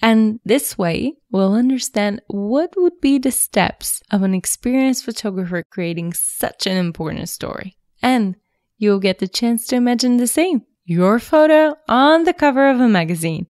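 A woman's voice: fairly high pitch, expressive, normal speed, a little mellow, no room sound, clean studio sound. A woman hosting a photography podcast, reading an upbeat intro and then interviewing a guest in an encouraging way. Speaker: podcast host.

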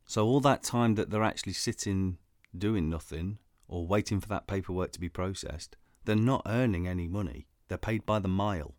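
A man with a low voice co-hosts a podcast and explains his points steadily and earnestly. The recording is dry and close. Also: moderate pace, a little mellow, no echo. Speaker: podcast co-host. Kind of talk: casual chat.

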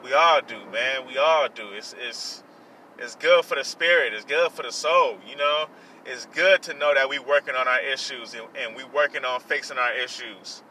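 A man's voice: mid-range voice, moderate pace, sharp tone, recorded from a distance, slight background noise, poor audio. A man in his late twenties hosting a podcast, talking in an earnest, repetitive, self-reflective way with many fillers. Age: 20s. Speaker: podcast host. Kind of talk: personal motivational monologue.

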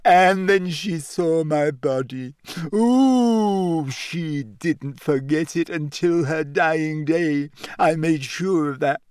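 Audiobook ghost girl played by a man: glum, touchy, high-pitched and drawn out, full of self-pity. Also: slow, a little mellow, clear, resonant tone, dry recording. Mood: self-pitying, aggrieved. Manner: mournful, shrill when upset. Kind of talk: audiobook character voice.

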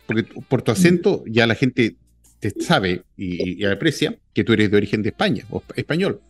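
A man's voice: on the low side, sing-song, brisk, slightly rough, dry recording, faint music behind. A man hosting a casual interview, asking long friendly questions and dropping short backchannels. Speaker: podcast host.

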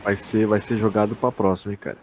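A man's voice: lowish voice, level tone, fast talker. A young man with a Brazilian accent, co-hosting a podcast in casual, slangy, sweary talk, often repeating himself. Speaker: podcast co-host. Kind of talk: casual chat.

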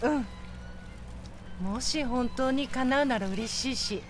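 A gentle food-truck vendor in an audio drama. Her voice is high and lively, and she talks slowly, with polite hesitation. Faint ambient noise sits behind her. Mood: friendly, hopeful. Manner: soft, polite, tentative. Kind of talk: Japanese audio drama acting.

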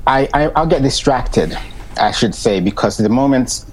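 A man's voice: mid-range voice, lively intonation, normal speed, a little hiss or hum. A man on a discussion panel giving his reactions, hesitant, with restarts, laughing easily.

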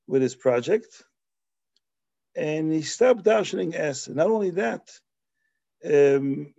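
A man's voice: fairly high pitch, mellow, no room sound, lo-fi sound. A man raised in Belgium giving a Talmudic lecture, explaining passages with Hebrew and Yiddish terms and frequent restarts. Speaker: Torah teacher.

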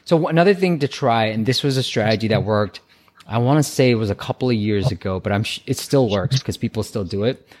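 A man's voice: on the low side, fast, a little mellow. A man advising on app marketing in a casual call, chatty and coaching.

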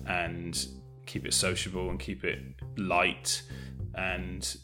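A man's voice: deep voice, completely flat delivery, slow, music in the background. A British man talking as an interview guest in a casual, hesitant, thoughtful and self-effacing way. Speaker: audio drama director, interview guest.

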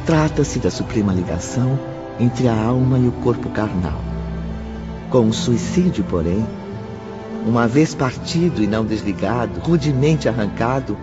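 An actor playing a solemn, knowing narrator in a radio drama, with formal, measured diction. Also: male voice, medium pitch, close to an everyday voice. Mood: solemn, explanatory. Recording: muffled radio drama with loud music.

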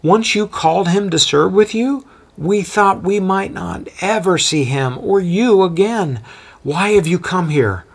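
A man voicing an anxious, excitable middle-aged mother who fires off questions. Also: sits high, creaky, voice pushed up, heavily acted. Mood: earnest. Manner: frantic, rapid-fire questions.

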